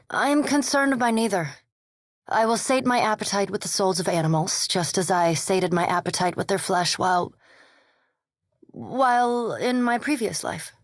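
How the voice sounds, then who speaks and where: A woman's voice: mid-range voice, dry recording, natural voice. A woman voicing a courteous, scholarly undead elf adventurer in a video game, with formal, precise speech and dry wit. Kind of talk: video game character dialogue and barks.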